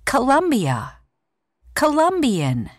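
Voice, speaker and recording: A woman clearly pronouncing each word of a vocabulary list on a course audio track, played back during a lesson. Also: high voice, wildly swooping pitch, no room sound, clean studio sound. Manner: clear, deliberate word-by-word pronunciation. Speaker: textbook audio speaker.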